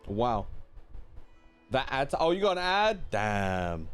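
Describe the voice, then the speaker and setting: A young man giving casual, slangy live commentary as a game streamer, in an animated way. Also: mid-range voice, slow pace, smooth, faint music behind.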